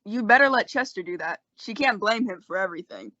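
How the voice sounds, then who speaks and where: A woman's voice: mid-range voice, animated, a little fast, pushed and bright. A woman playing a frank, concerned frontier town doctor in an old-time radio western, with folksy, advising talk. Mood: concerned, questioning. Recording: dull lo-fi radio drama recording.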